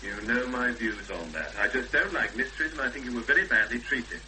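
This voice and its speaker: A man's voice: medium pitch, lively intonation, a little fast, pushed and bright, rough recording. A man playing a practical, sceptical young fiancé in a 1970s radio drama, matter-of-fact and clipped in conversation. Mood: sceptical, firm. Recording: faintly hissy 1970s radio broadcast.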